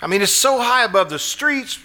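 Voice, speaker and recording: A man's voice: very high voice, animated, brisk, pushed and bright, full character voice. An actor playing a desperate, sleepless, nervous man who hesitates and stammers in old-time radio suspense style. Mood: anxious, puzzled. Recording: live stage performance with music.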